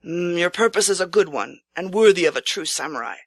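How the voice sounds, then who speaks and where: A woman's voice: lowish voice, lively intonation, brisk, dry recording, clean studio sound. A woman voicing a courteous, kindly traveling priest who is a nobleman in disguise, speaking earnestly and formally for an audiobook. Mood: approving. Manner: earnest, kindly, formal old-fashioned speech. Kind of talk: audiobook character acting.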